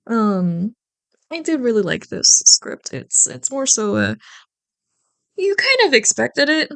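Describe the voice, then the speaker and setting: A woman's voice: on the high side, big pitch swings, slow pace, a bit bright, no room sound. A young woman, an ASMR roleplay creator, chatting casually and affectionately to her listeners in a rambling, apologetic way.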